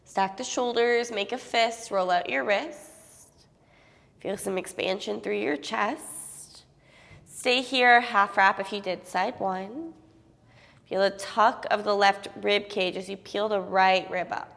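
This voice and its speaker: A young woman teaching a yoga class on a clip-on mic, giving calm, steady cues with a slight sniffle from a cold. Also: medium pitch, slow pace, gravelly, in a large hall. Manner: calm, steady cueing with soft fillers. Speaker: yoga instructor.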